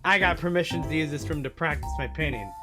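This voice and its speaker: A man's voice: fairly high pitch, quick pace, natural voice. Guy playing a bored snippy art student girl in a visual novel, curt and sardonic, music in the back. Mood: annoyed. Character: cold, bored, irritable young female art student. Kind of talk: visual novel character read aloud.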